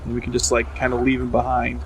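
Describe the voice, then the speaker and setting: A man playing a tabletop roleplaying game, talking casually and haltingly as he thinks aloud. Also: mid-range voice, monotone, noisy background. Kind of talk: casual in-character game talk.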